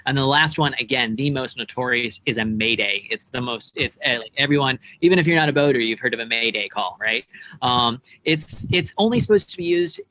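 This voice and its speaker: A man's voice: medium pitch, lively intonation, a little fast, pushed and bright, a little hiss or hum, low-quality recording. A young American man giving casual, informal explanations as a guest expert, often checking in with tag questions. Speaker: boat club operations director, guest expert. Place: outdoors on a marina dock.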